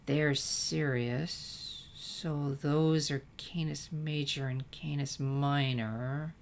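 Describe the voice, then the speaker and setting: A woman's voice: deep voice, very slow, slight background noise, exaggerated voice. A woman voicing a guarded, thoughtful teenage girl in a story reading, dry and hesitant. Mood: muttering, searching. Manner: muttering, deadpan, hesitant.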